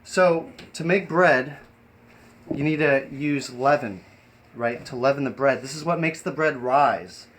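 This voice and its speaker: A man's voice: mid-range voice, unhurried, fairly bright tone. A man hosting an improvised live stream, chatting and explaining things in a rambling, hesitant way. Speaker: live stream host and performer. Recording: noisy live stream with ambient sound.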